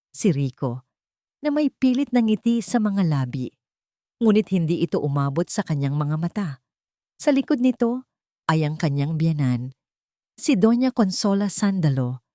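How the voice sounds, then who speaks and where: A woman's voice: lowish voice, warm tone, dry recording, clean studio sound. A woman storyteller reading a scripted, dramatic tale as narrator.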